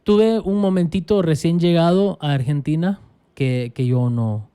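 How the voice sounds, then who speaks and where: A young Salvadoran man, a pastor and guest, telling his life story in a relaxed, reflective interview with frequent restarts. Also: fairly high pitch, unhurried, clean tone.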